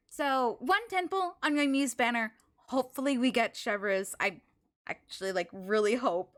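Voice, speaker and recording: High-voiced woman game streamer, expressive. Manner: expressive, exclamatory, thinking aloud. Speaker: live streamer playing a video game. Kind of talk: casual chat with stream viewers while gaming.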